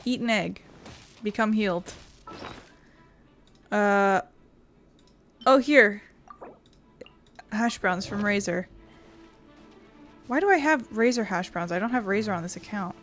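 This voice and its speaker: A woman's voice: medium pitch, lively intonation, slow pace, long pauses, fairly bright tone, soft background music. A woman giving casual, rambling game commentary, talking to herself and swearing a little. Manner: self-talking, mildly sweary. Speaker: gaming video host.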